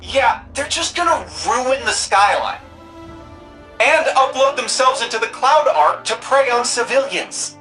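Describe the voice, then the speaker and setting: Casting: excitable, friendly game ally, gushing and playful, with a bright, swooping delivery. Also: mid-range voice, a little slow, faint music behind. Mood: wry. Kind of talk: video game mission dialogue.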